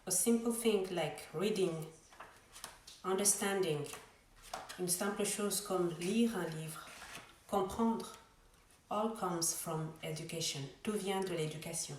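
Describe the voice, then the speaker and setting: A woman's voice: fairly low pitch, slow pace, long pauses, darkish tone, echoey room, a little hiss or hum, poor audio. A woman whose first language is Swahili, hosting an earnest inspirational vlog and switching between English and French. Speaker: vlog host. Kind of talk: inspirational bilingual monologue.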